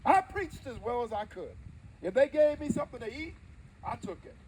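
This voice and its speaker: Actor as a dignified, high-pitched old-time preacher orator. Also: male voice, very animated, a little mellow, slight background noise, poor audio. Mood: earnest, wry. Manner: emphatic, deliberate storytelling with dramatic pauses. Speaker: live history interpreter. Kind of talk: theatrical first-person oratory before an audience.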